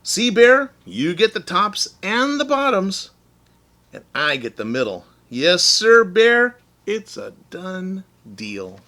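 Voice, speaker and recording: Man voicing a clever, high-pitched storybook hare. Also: very animated, slow pace, heavily acted. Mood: gleefully triumphant. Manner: calls out loudly and brightly. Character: clever, cheerful male hare farmer, slyly persuasive. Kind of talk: children's storybook read-aloud.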